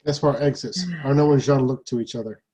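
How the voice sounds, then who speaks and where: A man with a slightly husky voice narrating stage directions from a play script. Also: medium pitch, steady tone, brisk, dark tone.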